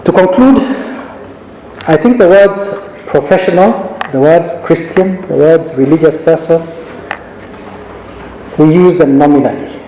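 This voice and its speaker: A middle-aged man from Kenya, a judge, giving a measured, anecdotal conference talk with frequent restarts. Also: a little high, dark tone, a little hiss or hum. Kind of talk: conference speech. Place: large conference hall. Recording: echoey hall recording.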